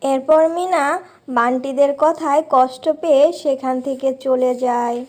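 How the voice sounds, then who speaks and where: A woman giving scripted narration for a children's animated fairy tale. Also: sits high, unhurried.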